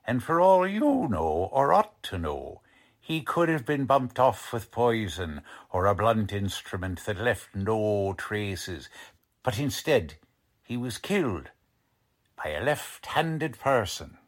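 Slow-talking, gruff radio police lieutenant. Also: male voice, fairly low pitch, big pitch swings, exaggerated voice. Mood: probing. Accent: American. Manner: blunt, slangy, bullying. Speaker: actor in a radio drama. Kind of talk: 1940s radio acting.

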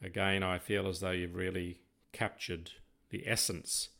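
Middle-aged Australian male co-host, low and gravelly, rambling reflective conversation. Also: little pitch movement, a little slow, bright, forceful tone. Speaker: podcast co-host.

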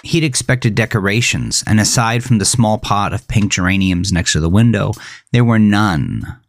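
A man with a low voice narrates a dark thriller. His delivery is slow, deliberate and brooding, with faint music underneath. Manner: measured, deliberate, brooding storytelling. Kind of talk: scripted horror thriller narration.

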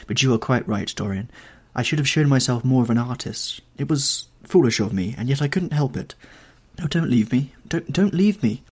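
A man's voice: medium pitch, lively intonation, normal speed, darkish tone, husky, pitched above the performer's own voice. A man voicing a heartbroken young actress who begs her lover not to leave, in a pleading, breathless way.

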